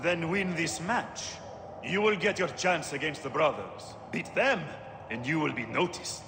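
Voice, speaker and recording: An actor playing a condescending, scheming fight boss in a video game cutscene, speaking in a commanding, theatrical way. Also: male voice, fairly high pitch, a little slow, gravelly, in a large hall, very noisy. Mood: menacing, dismissive. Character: imperious, scheming male arena fight boss. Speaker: arena boss and fight announcer.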